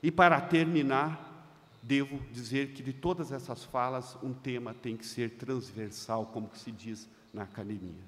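A middle-aged man from Brazil delivers a prepared address at a panel table, speaking into a desk microphone. He leans into key phrases, growing louder and slowing to stress his points. His voice carries a long hall reverb from the large conference room. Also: mid-range voice. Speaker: seminar speaker. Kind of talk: formal conference speech read from notes. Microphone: desk microphone on a stand.

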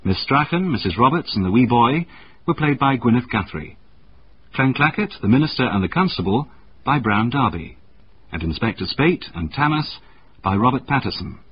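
Animated male radio announcer. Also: mid-range voice, normal speed. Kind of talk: scripted credits announcement. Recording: muffled radio broadcast, faint noise.

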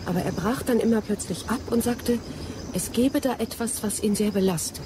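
An actress playing a determined, ambitious young female botanist in a radio play, earnest and expressive. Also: fairly high pitch, moderate pace, background noise, natural voice. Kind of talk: German radio play acting.

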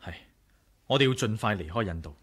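Low-voiced, determined Hong Kong adventurer. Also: male voice, animated, fairly quick, fairly bright tone, husky. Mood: decisive. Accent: Hong Kong Cantonese. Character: determined, curious male adventurer, brooding and decisive. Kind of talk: 1980s Cantonese radio drama acting.

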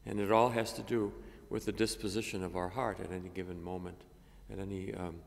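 An older man, a priest, reading a gospel and preaching a homily at a lectern microphone, measured and halting with many fillers. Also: low-pitched, level tone, vocal fry. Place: cathedral chapel, at a lectern. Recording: long reverb of a chapel.

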